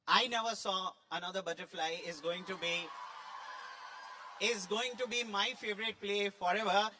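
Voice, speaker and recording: A man's voice: high voice, pushed and bright. A man giving an effusive, emphatic impromptu speech as a judge at a school play event. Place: large school hall. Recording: echoing hall with long reverb.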